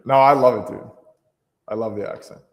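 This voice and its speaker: A man's voice: medium pitch, steady tone, fairly quick, big-room sound. A man hosts a live trading stream in a casual, unscripted way. He thinks aloud as he goes, restarting sentences and leaning on fillers. His tone stays conversational and everyday.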